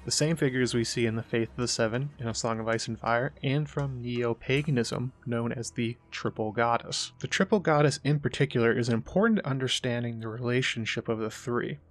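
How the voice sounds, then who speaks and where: A man hosts with scripted, explanatory narration. His voice sits at an average male pitch, and he reads as a presenter laying out a subject. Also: steady tone, fairly quick, hardly any pauses. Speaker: host, presenter.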